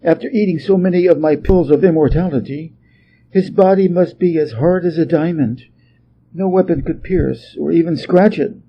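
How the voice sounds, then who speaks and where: A man voicing a calm, calculating Taoist patriarch in a storybook, courteous and measured. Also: fairly high pitch, highs cut off, slight background noise, pitched above the performer's own voice, lightly acted. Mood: deferential. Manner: measured, deferential.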